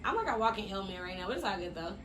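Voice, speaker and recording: A woman's voice: mid-range voice, racing, crowd noise. A woman co-hosting a podcast in chatty casual talk, sounding nasal and congested from a sinus infection. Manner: chatty and animated. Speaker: podcast co-host. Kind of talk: casual chat. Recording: echoey room, noisy.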